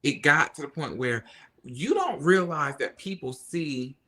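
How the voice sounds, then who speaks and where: Guy on a podcast, pretty bright sharp voice, tells stories and does everybody's lines. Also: fairly high pitch, measured pace. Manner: animated storyteller, acts out quoted people. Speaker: podcast guest. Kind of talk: casual chat.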